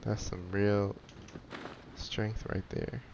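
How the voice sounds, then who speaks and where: A man's voice: lowish voice, little pitch movement, drawn-out words, vocal fry, lo-fi sound, background noise. A man giving laid-back, rambling casual commentary over a video game. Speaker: gaming commentator.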